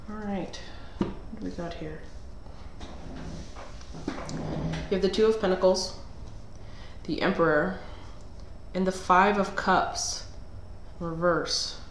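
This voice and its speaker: A woman's voice: on the low side, very slow, long pauses, echoey room, noisy background. A woman doing a casual tarot reading who sounds slightly nasal and under the weather, thinking aloud with fillers and restarts. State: under the weather, clearing throat. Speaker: tarot reader.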